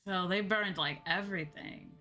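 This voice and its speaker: A woman's voice: fairly low pitch, moderate pace, pushed and bright. A woman streamer giving casual live game commentary, musing chattily and informally to her viewers. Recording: game music clearly behind voice. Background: video game music.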